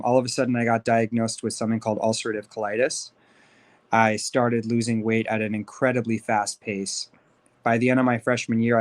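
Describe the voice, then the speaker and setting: Man, 30s, from Los Angeles, podcast guest, candid storytelling, average voice. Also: mid-range voice. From Los Angeles, USA. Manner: upbeat, candid, talks freely with fillers. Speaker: podcast guest, life coach. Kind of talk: casual interview storytelling.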